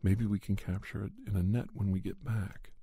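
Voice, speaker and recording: A man telling a spooky first-person story in a soft, breathy ASMR style, often near a whisper, in deliberate short phrases with pauses. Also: lowish voice, level tone, dry recording. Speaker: podcast storyteller.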